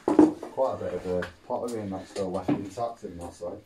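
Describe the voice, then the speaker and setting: A man's voice: sits low, normal speed, background noise. A man chatting casually indoors as a fellow explorer. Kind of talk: casual chat. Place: inside a small derelict cottage.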